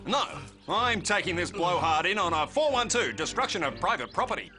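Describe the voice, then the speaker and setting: A woman voicing a brash, impulsive young police detective in a cartoon, excitable and cocky. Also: fairly low pitch, fairly quick, music in the background. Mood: indignant. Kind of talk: cartoon voice acting.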